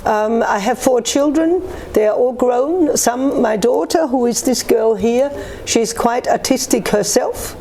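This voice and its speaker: A woman's voice: a little high, unhurried, few pauses, mellow, slight background noise, low-quality recording. A woman from Australia, a guest author talking earnestly about her work in an interview. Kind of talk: conversational interview answers.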